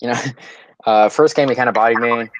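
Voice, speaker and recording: A man's voice: mid-range voice, brisk, muffled recording. A man on a group voice call talking about his day in a laid-back, matter-of-fact way, with fillers. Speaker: voice call regular and tournament player. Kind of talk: casual chat.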